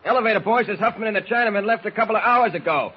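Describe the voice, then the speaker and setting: An actor playing a plain-spoken newspaper office underling, clipped and matter-of-fact, in 1940s radio drama style. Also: male voice, high-pitched, very fast, natural voice. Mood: businesslike. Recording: muffled old radio recording, faint noise.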